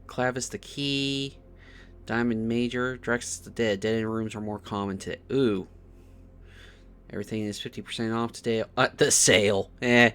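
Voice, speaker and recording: A man's voice: fairly low pitch, steady tone, normal speed, fairly bright tone, clear, resonant tone. A man giving casual playthrough commentary as a gaming streamer, sometimes reading on-screen text aloud. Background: game music.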